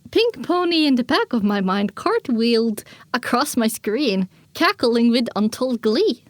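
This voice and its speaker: A woman narrating a first-person story as an audiobook, expressive and dramatic, on a close microphone. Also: a little high, unhurried, dry recording.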